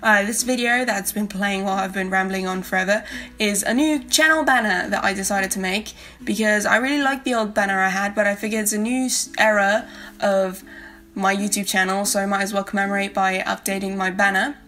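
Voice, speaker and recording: A woman's voice: medium pitch, fairly even intonation, brisk, fairly bright tone. A young woman from South America, a native Spanish speaker with a light Spanish accent, rambling casually in a friendly voice-over about her art channel. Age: young adult. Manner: rambling, friendly, many fillers. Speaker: art YouTuber. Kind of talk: casual voice-over while drawing. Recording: faint backing guitar music.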